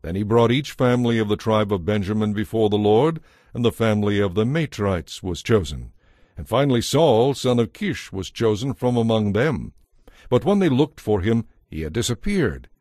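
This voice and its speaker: Male narrator, low-pitched, animated intonation, scripted Bible storytelling, dry close sound. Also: moderate pace, dry recording.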